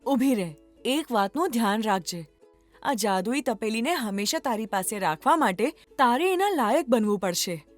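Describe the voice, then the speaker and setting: A woman voicing a gracious, wise fairy in a children's cartoon story, with a measured, instructing manner. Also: on the high side, animated, soft background music, close to an everyday voice. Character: gracious, wise magical fairy granting wishes.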